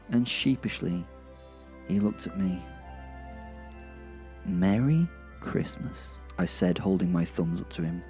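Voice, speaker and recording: A man narrating a horror audio drama in the first person, wry and gleeful, pausing for effect. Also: deep voice, gravelly, dark tone. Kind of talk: first-person sardonic storytelling.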